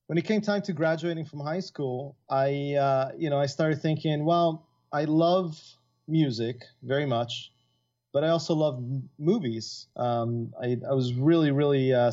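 A man's voice: mid-range voice, measured pace, dark tone. Man, from Italy, middle-aged, rambling with fillers, dull lo-fi recording. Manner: rambling, many fillers and restarts. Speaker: guest composer. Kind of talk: casual interview chat. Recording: dull lo-fi sound.

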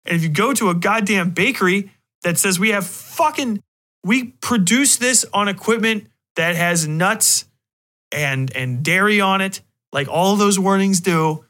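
A man's voice: high voice, animated, unhurried, pushed and bright. A man hosting a podcast, talking casually and emphatically with blunt opinions and frequent swearing. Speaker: podcast host.

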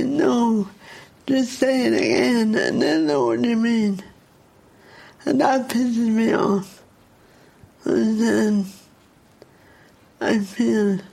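A woman in her thirties with a Dublin accent and a speech impediment, talking slowly and effortfully. She speaks candidly and reflectively in a documentary interview. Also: fairly high pitch, gravelly, darkish tone, breathy edge. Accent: Dublin Irish. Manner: candid, reflective, emotionally open.

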